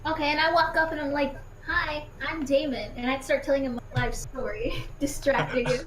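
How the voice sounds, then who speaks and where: High excitable woman in an echoey room. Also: fairly quick, a little hiss or hum. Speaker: player. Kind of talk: casual tabletop play.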